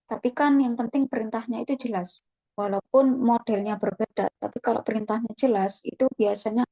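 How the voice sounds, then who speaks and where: A woman presenting a casual online tutorial, relaxed and chatty, often thinking aloud with fillers. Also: a little high, no room sound, low-quality recording. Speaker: webinar presenter.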